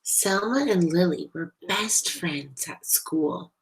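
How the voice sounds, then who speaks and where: A woman reading a picture book aloud to young children in an expressive, deliberate way, as a storyteller. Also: fairly low pitch, vocal fry, a little hiss or hum, rough recording. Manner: animated, deliberate, pauses to ask listeners questions.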